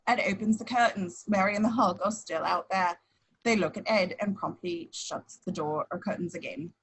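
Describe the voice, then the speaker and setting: A woman's voice: on the low side, normal speed, slightly rough, low-quality recording. A woman reading scripted action descriptions as the narrator of a screenplay table read.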